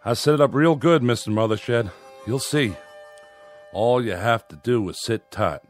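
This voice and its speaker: A man's voice: mid-range voice, a little slow, soft background music, close to an everyday voice. An actor playing an earnest, folksy Old West man organizing a posse, in a radio drama with an American Western drawl. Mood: urgent, earnest. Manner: folksy, insistent.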